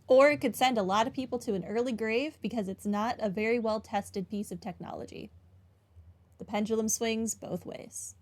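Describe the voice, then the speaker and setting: A woman's voice: fairly high pitch, moderate pace, darkish tone. A woman giving casual, wry playthrough commentary, musing aloud as she plays a video game. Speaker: game streamer. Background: game music.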